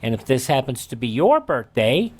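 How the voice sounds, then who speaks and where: A man's voice: medium pitch, big pitch swings, normal speed. A man with an American accent hosting a radio show and presenting items in a scripted but informal way. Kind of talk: presenting a this-day-in-history segment.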